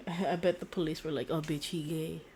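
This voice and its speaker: A woman's voice: deep voice, fairly even intonation, moderate pace, a little mellow. A woman game streamer chatting casually in a rambling, slangy, blunt way, often repeating her words. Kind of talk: casual live-stream chat. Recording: faint background noise, game audio.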